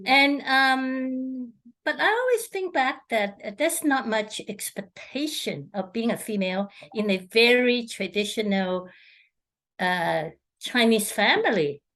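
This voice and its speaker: A middle-aged woman from Hong Kong, a feng shui consultant answering interview questions in a halting way, with many fillers and restarts. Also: on the high side, expressive, fairly bright tone.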